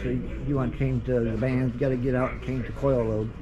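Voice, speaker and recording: A man's voice: medium pitch, flat delivery, fast talker. Muffled recording, busy voices behind, American man, off-the-cuff product walkthrough, opinionated advice. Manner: opinionated, advising. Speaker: vlogger showing radio gear in a store. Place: inside a busy radio store. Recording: muffled audio with busy store chatter. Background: other people talking.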